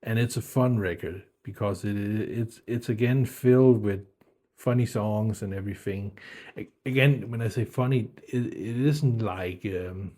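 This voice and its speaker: A man's voice: lowish voice, measured pace, warm tone. A middle-aged man from Denmark, whose first language was German, chatting casually about his old albums, rambling with lots of fillers and restarts. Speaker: music video host.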